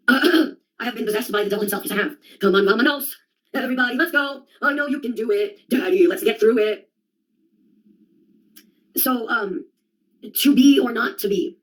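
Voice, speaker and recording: A woman's voice: fairly high pitch, moderate pace, long pauses, rough recording. Sardonic young woman rambling alone. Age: young adult. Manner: sardonic, profane, jumps between topics. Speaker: vlogger. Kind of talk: rambling solo monologue.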